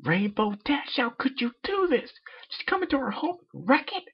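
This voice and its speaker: A man's voice: very high voice, sing-song, moderate pace, slightly rough, voice pushed up, exaggerated voice. A man voicing an exhausted, furious motherly pony baker, in a dull lo-fi home recording. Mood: raging.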